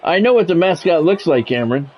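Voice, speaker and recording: A man's voice: a little high, expressive, fast talker. A man streaming a sports video game, switching between play-by-play commentary and casual chat. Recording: dull lo-fi audio, music behind.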